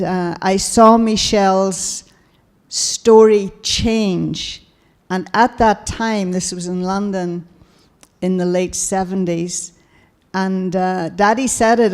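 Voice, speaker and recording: Slow, mellow-voiced woman moderating a panel. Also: medium pitch. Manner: slow, measured and reflective, with light laughs. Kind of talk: spiritual panel talk. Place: large meditation hall.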